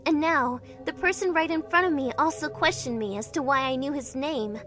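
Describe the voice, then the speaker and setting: A woman's voice: sits high, normal speed, a bit bright. A woman playing an anxious, emotional young survivor, narrating a scripted drama in the first person with earnest feeling. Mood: uneasy, puzzled. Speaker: narrator and lead character in an amateur fan dub. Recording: music playing behind the voice.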